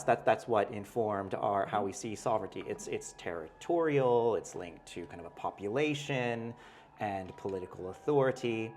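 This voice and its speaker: A male professor, a guest in an interview, explaining ideas in a thoughtful, hedging way with frequent "right?" tags and restarts. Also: on the low side. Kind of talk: conversational interview.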